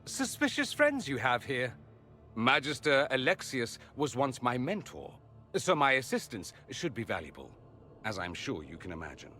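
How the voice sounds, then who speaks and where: An actor playing a witty, self-assured foreign mage in a video game, with an arch, flamboyant manner. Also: male voice, medium pitch, wildly swooping pitch, moderate pace, sharp tone, soft background music. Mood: breezy, charming. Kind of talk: video game dialogue acting.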